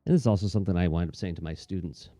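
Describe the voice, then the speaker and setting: Deep, very mellow man chatting reflectively. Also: racing, no echo, studio quality, faint music behind. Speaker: comics artist and teacher hosting a livestream. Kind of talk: casual reflective chat while drawing.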